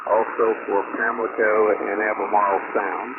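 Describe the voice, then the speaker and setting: Over a thin, static-laden shortwave link comes a man with a fairly low voice. He is a radio operator relaying an official weather advisory. His reading is halting, and he trips on names and corrects himself as he goes. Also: level tone. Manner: halting, stumbles and self-corrects on place names. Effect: shortwave ham radio transmission. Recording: tinny, very noisy shortwave radio signal. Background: loud radio static and noise.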